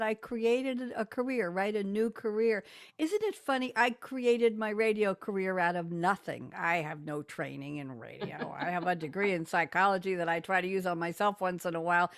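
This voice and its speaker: A woman's voice: mid-range voice, normal speed, dry recording, studio quality. A woman radio and TV host interviewing guests over a video call, talking in an effusive, enthusiastic, chatty way.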